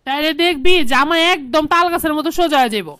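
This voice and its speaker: Woman playing a high-voiced scheming Bangladeshi mother-in-law. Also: fast talker, fairly bright tone, no room sound, studio quality. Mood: goading. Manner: goading, opinionated. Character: meddling, scheming middle-aged cartoon mother-in-law. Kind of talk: comedy cartoon dialogue.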